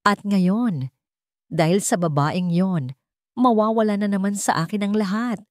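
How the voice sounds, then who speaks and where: A woman performs a vengeful older villainess from a radio drama. She sneers and taunts, savoring her words with deliberate menace. The sound is dry and close. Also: medium pitch, a little mellow, no room sound, voice pushed up, close to an everyday voice. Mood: bitter rage. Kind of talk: Tagalog radio drama storytelling.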